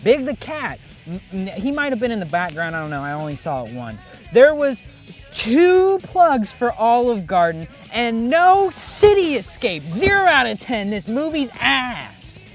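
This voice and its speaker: A man's voice: extremely high pitch, very animated, measured pace, faint music behind. A man co-hosting in a playful, exaggerated, casual comic style. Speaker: co-host.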